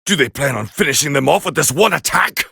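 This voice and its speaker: A man voicing a proud, scornful alien warrior as a fighting game commentator, gravelly and haughty in an English anime dub style. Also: a little high, expressive, brisk, no room sound, clean studio sound, heavily acted. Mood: smug, appraising. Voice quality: gravelly, raspy. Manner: haughty, forceful, clipped exclamations.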